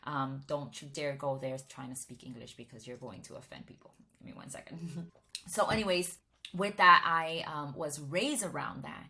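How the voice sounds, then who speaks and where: A woman's voice: low-pitched, normal speed, creaky, bright, forceful tone. A woman in her thirties from Miami gives a casual, conversational personal testimony to camera, often restarting her sentences. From Miami, Florida, United States.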